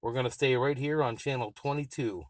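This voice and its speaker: A man's voice: medium pitch, brisk, a little hiss or hum. An American man giving a casual, enthusiastic and plain-spoken product review as a radio hobbyist.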